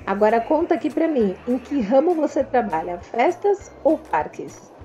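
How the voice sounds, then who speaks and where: A woman's voice: sits high, big pitch swings, normal speed, warm tone, dull audio, faint music behind. A woman with a Brazilian Portuguese accent, narrating a vlog about a trade fair and talking straight to her viewers in a chatty way. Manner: chatty, addresses viewers directly. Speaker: vlogger and confectioner.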